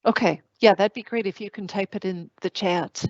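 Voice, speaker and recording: A woman's voice: mid-range voice, fairly even intonation, moderate pace, dry recording. A woman hosting an online career fair, upbeat and encouraging as she asks guests questions. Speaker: host. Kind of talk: moderating a virtual career fair. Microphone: video call. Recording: dry dull video call audio.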